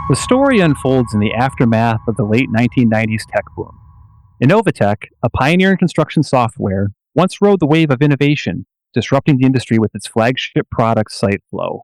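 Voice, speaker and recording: A man narrating a scripted radio play in a measured storytelling manner. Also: medium pitch, very animated, no echo, poor audio.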